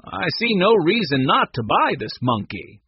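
Man acting a smug shop clerk, husky. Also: sits high, very animated, unhurried, no echo, lo-fi sound, voice pushed up, full character voice. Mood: firm.

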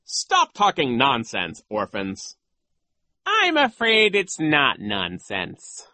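A woman voicing a sly, villainous male gym coach in a storybook, smugly cruel, telling things in a drawn-out theatrical way with false regret. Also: a bass voice, wildly swooping pitch, sharp tone, no room sound, pitched above the performer's own voice, heavily acted. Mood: smug, feigned regret.

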